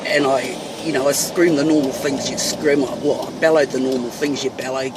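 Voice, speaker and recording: A woman's voice: a bass voice, steady tone, moderate pace. A middle-aged woman with a New Zealand accent, bluntly ranting and swearing to her phone camera while driving. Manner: blunt, fiery, swears freely. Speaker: vlogger. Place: inside a van in traffic. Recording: distant phone mic with loud road noise.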